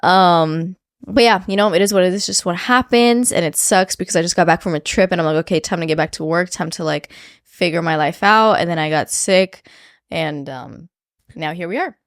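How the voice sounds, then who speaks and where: A woman's voice: lowish voice, moderate pace, dry recording, studio quality. A woman co-hosting a podcast, sniffly from a cold, chatting casually with lots of likes and restarts. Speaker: podcast co-host. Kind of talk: casual chat.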